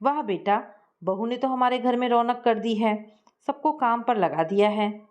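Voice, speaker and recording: A woman's voice: a little high, a little slow, natural voice. A woman voicing a kind, jovial middle-aged father-in-law who blesses his daughter-in-law. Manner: warm blessing, laughing.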